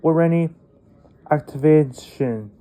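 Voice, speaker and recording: A man with a fairly high voice speaks very slowly and haltingly. He talks casually in short, simple phrases, as the host of a homemade unboxing. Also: warm tone. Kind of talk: casual unboxing narration, reading packaging aloud.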